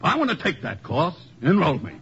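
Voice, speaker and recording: An actor playing a gruff small-town political boss in 1950s radio comedy, slangy and colloquial with uneducated grammar. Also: male voice, a little high, very animated, normal speed, a bit bright, a faint crowd, a little hiss or hum. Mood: cowed. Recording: muffled radio recording, audience behind.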